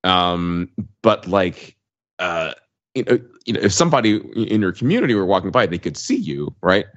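A man's voice: low voice, sing-song, normal speed, lo-fi sound. A man co-hosting a casual podcast discussion, musing tentatively and checking for agreement as he goes. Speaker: podcast co-host.

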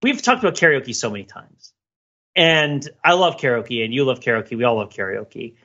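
A man's voice: mid-range voice, expressive, moderate pace, sharp tone. A talkative man chatting casually and at rambling length as a podcast co-host. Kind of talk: casual chat. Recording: dull, lo-fi microphone.

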